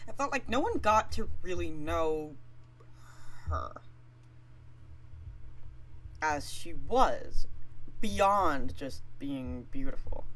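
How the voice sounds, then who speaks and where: A woman's voice: low-pitched, lively intonation, very slow, long pauses, a bit bright, low-quality recording. A woman livestreamer retelling a story off the cuff in a chatty, informal way with playful asides. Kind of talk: casual, improvised retelling of a myth.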